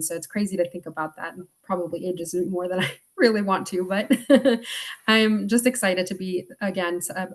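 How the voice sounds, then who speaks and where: A woman in her thirties speaking on a webinar over a video call, chatty and upbeat, with frequent fillers. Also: on the low side. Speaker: marketing communications specialist. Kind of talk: webinar presentation.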